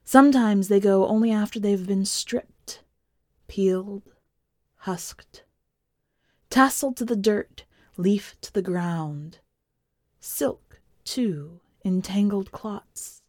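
An eerie, cryptic wife from a literary story, voiced by a woman reader, fond and haunted. She speaks slowly in breathy, incantatory phrases that sink toward whispers, very close and dry. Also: mid-range voice, dark tone, no echo, natural voice. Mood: eerie, hushed.

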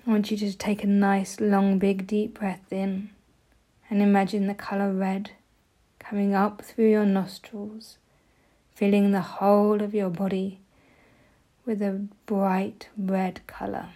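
Slow, soft-spoken woman guiding meditation. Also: medium pitch, completely flat delivery. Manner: soft, gentle delivery, often breathy. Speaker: meditation guide. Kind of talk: guided meditation, slow soothing instruction.

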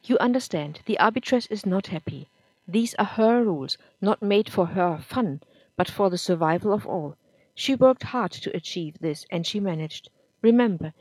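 A woman reading a storybook, voicing a calm, wise council spirit of dust in a soft, measured, reassuring way. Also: medium pitch, no echo, close to an everyday voice, rough recording.

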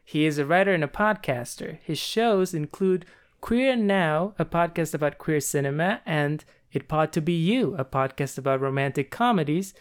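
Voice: mid-range voice, animated, moderate pace, clear, resonant tone. A podcast host reading a rehearsed, upbeat introduction and then interviewing a guest.

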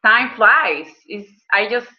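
A woman's voice: a little high, animated, takes it slowly. Earnest woman with a muffled sound. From Venezuela. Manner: earnest, hesitant with frequent ums and restarts. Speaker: guest, health coach and business owner. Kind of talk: conversational interview.

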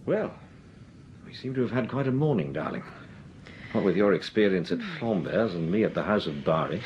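A suave upper-class detective. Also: male voice, sits low, lively intonation, moderate pace, a little mellow, lo-fi sound, a little hiss or hum. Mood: composed. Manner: clipped, crisp upper-class delivery. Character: suave male detective, calm and shrewd. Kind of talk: 1940s radio drama acting.

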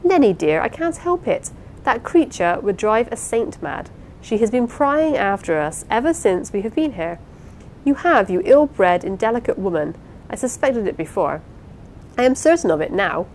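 A woman voicing a vain, fond, quick-tempered young wife with an emphatic, effusive manner in a dramatised novel reading. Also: animated, a little mellow, natural voice. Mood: indignant, furious. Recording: clear low rumbling background noise.

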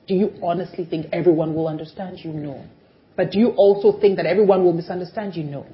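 A middle-aged woman, a television personality, speaking as an interview guest in an animated, emphatic way that swings between loud and soft. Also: fairly low pitch, slight background noise. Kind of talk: conversational interview. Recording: dull lo-fi recording.

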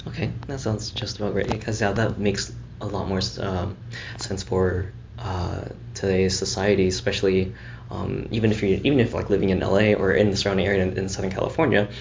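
A gravelly, fairly low-voiced man chats casually as a podcast host, wandering through his points with restarts and likes. The sound is a bit muffled and lo-fi, with a faint hum underneath. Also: flat delivery, fairly quick. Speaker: show host reviewing a series.

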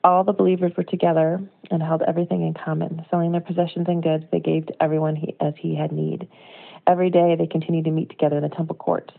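An American woman with a low voice and plenty of vocal fry shares an informal devotional. She is conversational, reflective and earnest. The sound is thin and narrow, as on a phone voicemail. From United States. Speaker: devotional speaker.

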